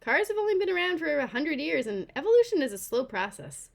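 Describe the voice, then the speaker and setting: A woman acts out a seventy-year-old neighbor lady from a children's storybook. She is calm and practical, explaining things matter-of-factly, with lively rises and falls in pitch. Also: a little fast, vocal fry, exaggerated voice.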